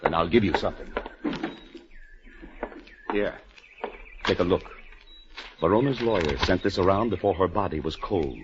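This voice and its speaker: Man playing a lowish-voiced, calculating older schemer. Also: moderate pace, long pauses, noisy background, natural voice. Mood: cool, calculating. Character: scheming, self-justifying older male client, cool and calculating. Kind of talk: 1940s radio detective drama acting. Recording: dull, band-limited old radio recording.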